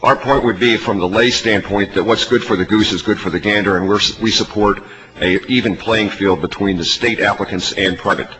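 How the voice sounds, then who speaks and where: Bright-voiced man testifying formally in a reverberant hall. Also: fairly low pitch, fairly even intonation. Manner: formal, measured, deliberate. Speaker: advertising industry representative testifying at a legislative hearing. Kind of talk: formal prepared testimony and answers. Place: large hearing room. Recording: reverberant hall, faint background noise.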